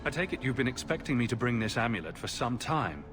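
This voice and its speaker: A man voicing a self-assured young human adventurer in a video game, direct and measured in his short questions. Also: medium pitch, big pitch swings, natural voice. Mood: direct, curious.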